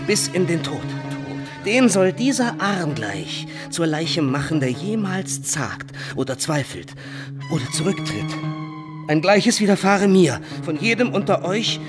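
A man's voice: high-pitched, full character voice. An actor playing a betrayed, embittered young nobleman turned robber captain in a German radio play, impassioned and declamatory. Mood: solemn, defiant. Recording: dramatic music under the voice. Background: music.

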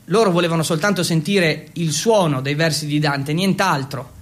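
A man's voice: on the high side, fairly bright tone, natural voice. Guy acting as feverish italian teen boy telling his story, theatrical, awkward, kinda fumbling. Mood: rambling, awkward. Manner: halting and fumbling when speaking English. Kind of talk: theatrical first-person monologue.

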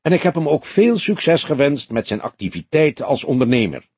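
A man voicing a polite, wry old police detective with a measured, courteous manner in an audiobook. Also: on the high side, sing-song, breathy edge, no echo, pitched above the performer's own voice. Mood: cheerful. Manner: courteous, measured, gently mocking. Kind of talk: audiobook character dialogue.

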